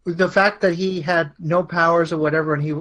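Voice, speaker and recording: A man's voice: fairly high pitch, steady tone, a little fast, a bit bright. A man talks casually as a guest on a live show. He feels his way through what he says, thinking aloud. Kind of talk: casual chat.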